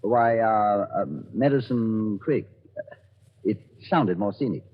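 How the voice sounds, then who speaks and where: A man's voice: fairly low pitch, takes it slowly, slight background noise. A man playing a polite but evasive British stranger, courteous and genteel, in 1940s radio acting. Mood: smooth, evasive. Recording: muffled old radio broadcast.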